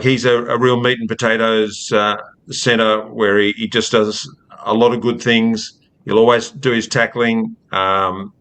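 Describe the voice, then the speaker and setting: A man, a rugby writer and podcast guest giving measured, casual analysis. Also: medium pitch, a bit bright. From Australia.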